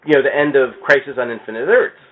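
A man chatting casually about comics, thinking aloud in an animated, rambling way and repeating his phrases as he goes. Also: medium pitch, fast, narrow, tinny audio. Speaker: podcast guest. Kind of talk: casual comics fan chat.

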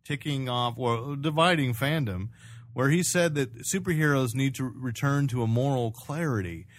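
A man's voice: mid-range voice, moderate pace. A man with a mid-range voice hosts a casual pop culture discussion. He talks in a bantering, exclamatory way and likes to tease. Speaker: podcast host. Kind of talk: casual comics and movie chat.

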